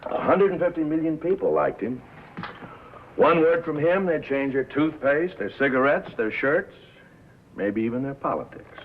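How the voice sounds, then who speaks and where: A man's voice: fairly high pitch, lively intonation, moderate pace, close to an everyday voice. An American man acting as a cynical, worldly press agent in a 1950s film drama, with a wry, sardonic manner. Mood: sardonic, bitter. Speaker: actor in a feature film. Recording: muffled vintage film soundtrack, faint noise.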